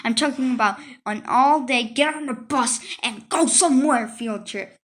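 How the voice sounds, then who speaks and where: A woman reads a children's story aloud in a high, bright voice. Her delivery is expressive and conversational, as if the story were her own, with asides along the way. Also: moderate pace. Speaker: reader of a children's book. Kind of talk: expressive read-aloud narration.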